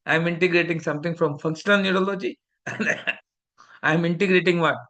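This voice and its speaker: Man, Indian English accent, lecturing a class, emphatic, slightly high voice. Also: steady tone, a little fast, sharp tone. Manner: emphatic, lecturing, sometimes scolding.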